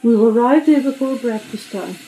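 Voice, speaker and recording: A woman's voice: fairly high pitch, lively intonation, normal speed, dark tone, a little hiss or hum, poor audio. A woman voicing a grand, brusque but kind female express train in a storybook radio reading. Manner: brusque, bossy but kindly. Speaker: radio drama voice actor.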